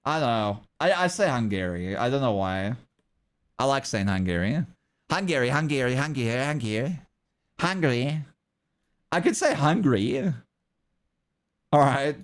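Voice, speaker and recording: Chatty South African streamer. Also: male voice, medium pitch, animated, normal speed, pauses often. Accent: South African English, mixed with Australian and American. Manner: rambling, chuckling often, talks back to chat. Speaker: streamer and artist. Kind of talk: casual livestream chat.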